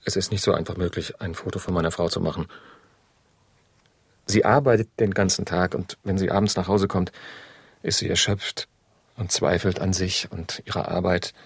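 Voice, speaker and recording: Casting: unyielding corporate manager, low husky voice, officious, indignant, radio drama. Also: male voice, steady tone, normal speed, dry recording. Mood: defensive. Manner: formal, accusatory, officious. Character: stiff, indignant human-resources manager, proud and unyielding. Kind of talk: modern radio drama acting.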